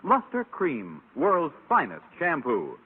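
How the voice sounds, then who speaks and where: American male commercial announcer, high husky voice, vintage radio ad style, band-limited old recording. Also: huge pitch swings, slow pace, narrow, tinny audio.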